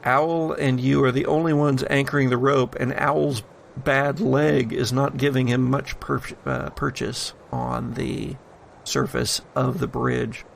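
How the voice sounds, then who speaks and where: A man narrating a tabletop horror game as game master, in deliberate phrases with pauses between them. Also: medium pitch, flat delivery, creaky, slight background noise.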